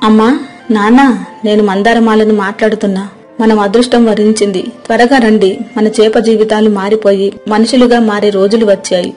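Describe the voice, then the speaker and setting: Woman playing a soft-toned young mermaid princess. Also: medium pitch, flat delivery, fairly quick, soft background music, natural voice. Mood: eager. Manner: pleading, emotive. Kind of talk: dramatic children's storybook acting.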